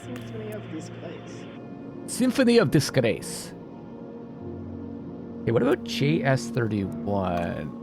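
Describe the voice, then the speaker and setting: Man, lively varied intonation, casual game commentary, muttered thinking aloud, reading aloud, clear music behind. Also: mid-range voice, slow, long pauses. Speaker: gaming video host.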